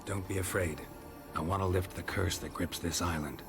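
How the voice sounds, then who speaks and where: A man playing a stoic, blunt monster hunter in a video game, speaking tersely and flatly. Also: low-pitched. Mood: calm, reassuring. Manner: terse, flat, unhurried. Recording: music behind the voice.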